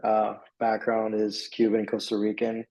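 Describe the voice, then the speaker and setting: Young man with a low, gravelly, flat voice. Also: unhurried, low-quality recording. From Miami, USA. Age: young adult. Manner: rambling, with many fillers and restarts. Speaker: client giving a testimonial. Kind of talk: casual interview talk.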